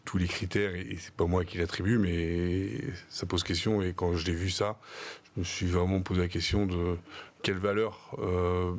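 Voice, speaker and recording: A man's voice: low-pitched, flat delivery, moderate pace. A man answering interview questions in a TV studio, hesitant and repeating his words. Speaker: guest, founder of an organization.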